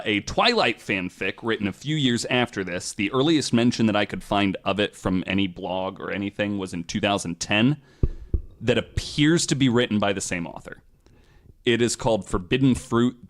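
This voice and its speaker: A man's voice: on the low side, normal speed, a bit bright. A man hosting a podcast, telling a researched story in a casual, profane and theatrically emphatic way.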